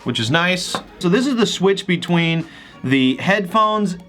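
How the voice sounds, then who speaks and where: Male gadget reviewer, higher-pitched and very animated, chatty and enthusiastic, music behind him. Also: measured pace. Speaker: host of gadget unboxing videos. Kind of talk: casual product review to camera.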